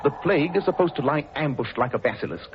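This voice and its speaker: Brief: actor as a composed scholarly detective, lively lilting delivery, muffled hissy old radio. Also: male voice, a little high, natural voice. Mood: lecturing. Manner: measured, lecturing, dryly amused. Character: calm, learned English detective. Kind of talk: 1940s radio acting. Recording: dull old radio recording with hiss.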